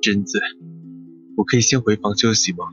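A man playing a weary, sickly, protective older brother in an audio drama, halting and subdued. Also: on the low side, a bit bright, close to an everyday voice. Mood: unwell, apologetic. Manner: halting, subdued, urgent warnings. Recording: dull muffled recording with music behind.

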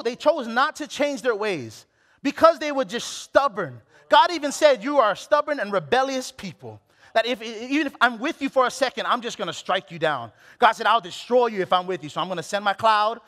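A man in his late twenties from Hawaii, preaching a sermon on a church stage with a headset mic, animated and full of restarts. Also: very high-pitched, normal speed. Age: late 20s. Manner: animated, exclamatory, with many restarts. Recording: long hall reverb.

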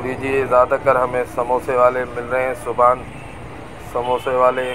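Male vlogger, even and unhurried, recorded on a bike in a loud market street. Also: medium pitch. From Pakistan. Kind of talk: street tour narration. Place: busy market street outdoors. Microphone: camera on a moving bike. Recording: noisy outdoor street recording. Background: traffic and crowd noise.

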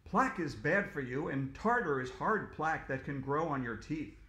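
A man's voice: a little high, expressive, normal speed, bright, forceful tone. A man reading aloud, voicing a heroic female superhero who champions tooth care in a grand, declaiming way. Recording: echoey room.

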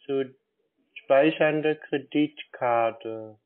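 Slow, bumbling man on the phone. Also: mid-range voice, narrow, tinny audio. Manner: feigned confusion, naive, literal-minded. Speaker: scambaiter playing a naive customer. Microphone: telephone call.

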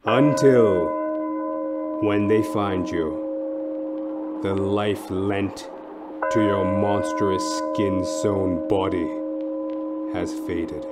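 A man narrating a horror audio play in a theatrical, drawn-out way that moves between hushed and screaming. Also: low-pitched, very noisy. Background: music.

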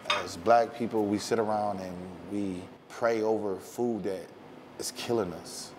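Earnest low-voiced restaurant owner. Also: male voice, fairly even intonation, measured pace, noisy background. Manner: earnest, heartfelt, casual speech. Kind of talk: interview. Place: small restaurant dining room, indoors. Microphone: lapel mic.

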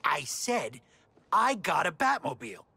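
A man's voice: a little high, very animated, unhurried, vocal fry, exaggerated voice. A man playing a brash, boastful inventor in a game, informal and cocky. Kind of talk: video game cutscene acting.

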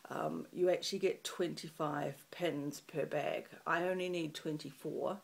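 A middle-aged woman from New Zealand with a New Zealand accent and a croaky morning voice, chatting to a webcam in a small room, rambling with lots of ums. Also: sits low, steady tone, unhurried. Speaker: craft channel host. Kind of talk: casual chat to camera. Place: small room in front of a festive fabric backdrop.

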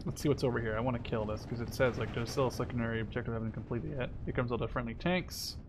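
A man's voice: mid-range voice, racing, background noise. Young man commentating casually over game gunfire. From Netherlands. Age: 20s. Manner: sweary, thinking aloud. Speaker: video game streamer. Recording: gunfire and explosions from game behind. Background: war game artillery and gunfire.

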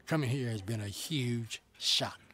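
A man's voice: medium pitch, unhurried, bright, forceful tone, slight background noise. An actor playing a wary, curious young man in a radio drama. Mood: guarded.

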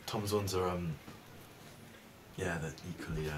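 A young man in a naturalistic film drama. His voice is fairly low and he talks like a frustrated, sweary student. The indoor location sound has clear background noise. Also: fairly even intonation, measured pace. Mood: idle, awkward. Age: young adult. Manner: informal, sweary. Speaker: film actor. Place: small bedroom, indoors.

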